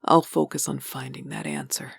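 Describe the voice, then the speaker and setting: A woman playing a determined, caring wife with a husky voice and earnest, thoughtful manner in a comic dub. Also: a bass voice, very animated, normal speed, no room sound, studio quality, full character voice. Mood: worried, pensive. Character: determined, caring wife doing some investigating. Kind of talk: dramatic comic dub acting.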